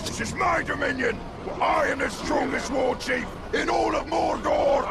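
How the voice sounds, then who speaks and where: An actor voicing a savage, boastful orc war chief in a video game, with a harsh, gravelly, snarling voice. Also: male voice, very high voice, a little slow, very noisy, heavily acted. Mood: roaring, commanding. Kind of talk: dramatic video game acting. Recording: loud music behind.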